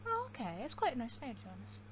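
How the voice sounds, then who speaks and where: A woman's voice: sits high, sing-song, rapid-fire, a little hiss or hum. A woman hosting a gaming playthrough, reacting casually with short, informal asides. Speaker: gaming video host. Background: music.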